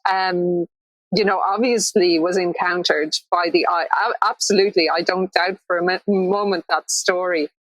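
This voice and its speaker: A woman interviewing a poet, enthusiastic and quick to laugh. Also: lowish voice, fairly even intonation, dry recording, rough recording. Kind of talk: conversational interview.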